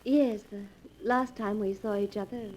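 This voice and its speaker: An actress playing a gentle, forgiving former sweetheart in a 1940s radio melodrama, polite and soothing. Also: female voice, medium pitch, steady tone, unhurried, a little hiss or hum, lightly acted, low-quality recording. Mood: polite, guarded.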